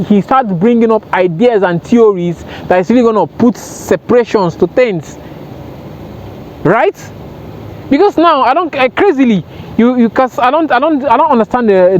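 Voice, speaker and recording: A man speaking earnestly and emphatically in an impromptu motivational talk to camera, often repeating himself for stress. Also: sits high. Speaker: self-help vlogger. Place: outdoors by water, sitting on a rail. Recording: dull lo-fi sound, outdoor noise.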